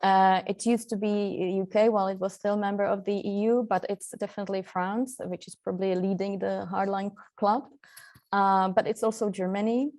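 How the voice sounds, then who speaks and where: Flat-toned hesitant woman expert. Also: mid-range voice, moderate pace. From Central Europe. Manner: hesitant, many fillers and restarts. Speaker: guest expert on EU policy. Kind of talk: webinar panel answer. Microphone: video call.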